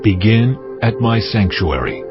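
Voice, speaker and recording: Brief: a stern, ancient God figure, low and grave, dull recording over music. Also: male voice, big pitch swings. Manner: slow, solemn and grave pronouncements. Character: stern, commanding voice of God, ancient male deity. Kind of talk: dramatized scripture reading. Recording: dull lo-fi audio with music bed.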